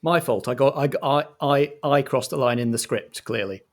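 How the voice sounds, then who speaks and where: A man narrating a tabletop game as game master, with theatrical, deliberate phrasing. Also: medium pitch. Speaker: game master, playing a director.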